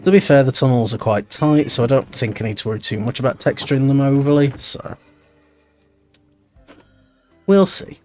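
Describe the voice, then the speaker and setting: A man's voice: mid-range voice, normal speed, long pauses, a little mellow. A man chatting casually while he plays a building game, thinking aloud and rambling. He sounds tired and stuffy-nosed, with a cold. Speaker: streamer. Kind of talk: casual gameplay commentary. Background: background music.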